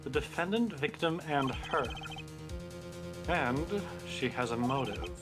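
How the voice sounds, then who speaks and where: A man voicing a suave, cold male defense attorney with a smooth, precise, theatrical delivery. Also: fairly high pitch, lively intonation, takes it slowly. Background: music.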